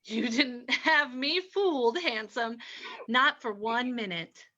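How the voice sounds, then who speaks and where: A woman's voice: on the high side, slow, bright, forceful tone, muffled recording. Woman acting a sultry, scheming radio-play femme fatale. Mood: mocking, gloating. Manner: flirtatious, mocking. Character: sultry, scheming, ruthless female poisoner in a crime radio play. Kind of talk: old-time radio drama acting.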